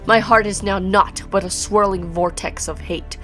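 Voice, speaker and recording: A woman's voice: medium pitch, fairly even intonation, moderate pace, fairly bright tone, faint music behind, natural voice. Woman reading as a mad, vengeful villain sorcerer. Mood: bitter, vengeful. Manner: theatrical, formal monologue.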